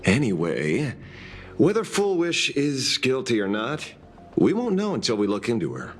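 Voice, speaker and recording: A sardonic video game detective with a theatrical, teasing delivery. His pitch swings widely as he needles and broods, with faint music underneath. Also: huge pitch swings, measured pace. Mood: wry, resolute. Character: sardonic male detective with a vigilante streak. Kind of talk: video game story dialogue.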